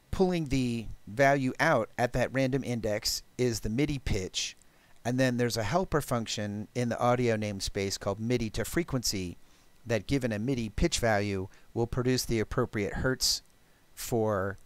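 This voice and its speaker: A man giving a live technical walkthrough of code as a presenter, explaining deliberately and haltingly with pauses. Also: medium pitch, clean tone, no echo. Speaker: presenter of a programming talk.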